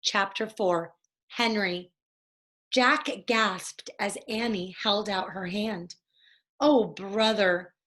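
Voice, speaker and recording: A woman's voice: medium pitch, fairly even intonation, takes it slowly, a bit bright. A woman reading a children's storybook aloud expressively for young listeners. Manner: addresses young listeners, acts out dialogue. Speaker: story reader.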